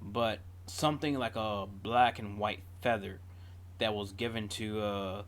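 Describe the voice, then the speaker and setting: A man's voice: lowish voice, steady tone, a little slow. A man giving casual, off-the-cuff reaction commentary as a video host, drifting into digressive storytelling.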